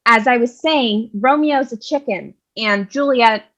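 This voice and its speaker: A woman's voice: a little high, measured pace, a bit bright, muffled recording. A woman playing a brash, sarcastic, scolding nun narrator in a comic stage reading. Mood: wry, bossy. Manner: scolding, sassy. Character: brash, sarcastic nun who takes over storytelling.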